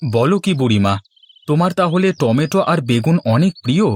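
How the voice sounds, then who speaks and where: A man's voice: fairly high pitch, animated, a little fast. A man playing a good-natured, easygoing village fisherman and husband in a Bengali moral-story cartoon. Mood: easygoing, friendly.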